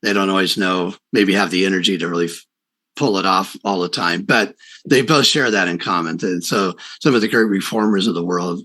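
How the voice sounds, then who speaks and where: A man's voice: sits low, a little fast, sharp tone. A man hosting a casual interview, affirming his guest and explaining his ideas as he thinks aloud. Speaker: podcast host, interviewer.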